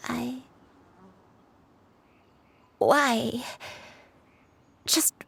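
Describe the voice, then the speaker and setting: A slow-spoken, frail old grandmother. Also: a little high, fairly even intonation, vocal fry, slightly rough, heavily acted. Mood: loving, anguished. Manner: slow, halting, gentle. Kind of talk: video game voice acting.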